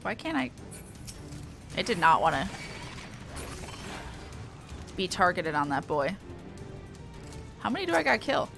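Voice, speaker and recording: A woman's voice: on the low side, big pitch swings, unhurried, creaky, long pauses, music in the background. A woman game streamer giving casual, playful and irreverent commentary on a playthrough.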